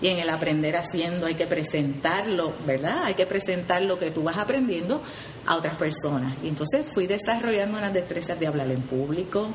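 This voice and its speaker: Puerto Rican woman with a lower voice in an echoey room. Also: lively intonation, fairly quick, a little hiss or hum. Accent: Puerto Rican Spanish. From Puerto Rico. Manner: earnest, frequent "¿verdad?" tags and restarts. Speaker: 4-H program leader, guest. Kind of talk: radio interview, explaining.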